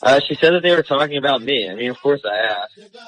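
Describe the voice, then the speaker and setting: A man's voice: mid-range voice, lively intonation, fast, a bit bright, low-quality recording. A young man calling a radio show, telling a personal story in a rambling, slangy, casual way.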